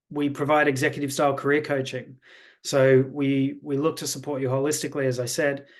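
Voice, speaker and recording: A man hosting an online information webinar in a polished, salesy way with frequent fillers. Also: medium pitch. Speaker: webinar host, programme representative. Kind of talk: presenting a webinar. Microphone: video call.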